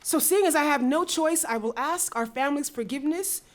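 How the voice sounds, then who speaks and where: High, emotional, fearful young princess played by an actress. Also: female voice, a little fast, close to an everyday voice. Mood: anguished, pleading. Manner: emotional, pleading. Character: fearful, obedient young princess, cautious anguished sister. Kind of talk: dramatic reading of Greek tragedy.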